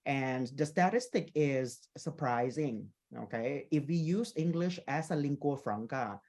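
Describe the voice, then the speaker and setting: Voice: medium pitch, expressive, measured pace, a little mellow. A speaker from Thailand gives a conference presentation on their work as a teacher trainer. They explain points patiently, lean on "you know," and keep checking in with listeners with "okay?" and "right?".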